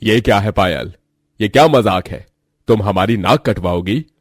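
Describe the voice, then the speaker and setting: A man's voice: on the low side, moderate pace, breathy edge, dry recording, studio quality, voice pushed down. Man doing a furious rich father. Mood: angry. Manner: scolding, contemptuous. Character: stern rich father, angry, older man.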